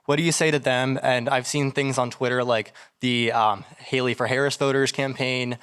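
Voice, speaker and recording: A man's voice: medium pitch, fairly even intonation, moderate pace, fairly bright tone, clean tone. A young man from Pennsylvania asking a question from the audience at a public event. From Pennsylvania, United States.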